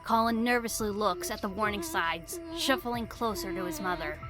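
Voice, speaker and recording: A woman's voice: mid-range voice, animated, normal speed, music in the background. A woman reading scripted storybook narration for an audio drama.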